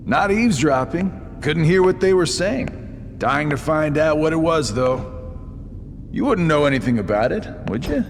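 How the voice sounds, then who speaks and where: An actor playing a sly, mocking villainous schemer in a video game cutscene, with a teasing, drawling, insinuating delivery. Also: male voice, fairly high pitch, very animated, a little slow, long reverb tail, slight background noise. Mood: prying, teasing.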